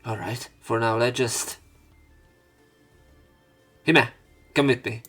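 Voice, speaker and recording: A man's voice: medium pitch, steady tone, slow pace, a bit bright, slightly rough, soft background music. A man doing a casual let's play of a visual novel, reading the story aloud with rambling, conversational asides.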